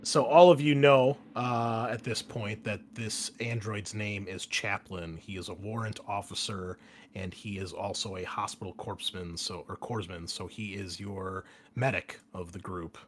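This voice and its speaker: A man's voice: fairly low pitch, steady tone, unhurried, a little hiss or hum. A man acting as game master, narrating and explaining casually and hesitantly to players.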